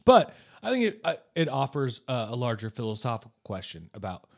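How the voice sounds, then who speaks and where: Animated man recapping with acted-out lines. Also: mid-range voice, a little fast, creaky. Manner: animated, acts out characters' lines in playful voices. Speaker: podcast co-host. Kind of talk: casual recap chat.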